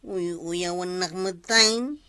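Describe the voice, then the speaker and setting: Woman as a sharp-voiced, indignant villager. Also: on the low side, slow pace, smooth, no echo. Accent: Ilocano. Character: indignant, easily hurt villager who gets teased. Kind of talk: comedy drama acting. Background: prominent music.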